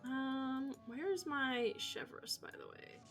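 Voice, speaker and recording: A woman's voice: high voice, slow pace. A woman streaming a video game, giving casual, rambling commentary in an informal, musing way. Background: music.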